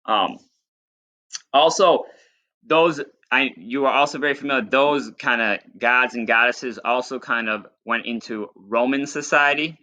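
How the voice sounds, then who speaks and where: A man's voice: mid-range voice, measured pace, pushed and bright. A man reading aloud as a teacher, mixing storybook narration with plain, informal explanatory asides. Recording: dull lo-fi recording.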